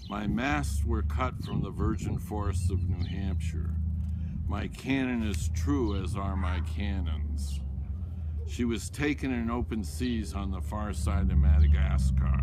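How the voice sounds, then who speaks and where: An older man reading his own poetry aloud in a deliberate, declamatory way. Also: lowish voice. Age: older, 60s or 70s. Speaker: poet reading own work. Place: outdoors in a backyard. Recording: outdoor ambience with birds, background noise.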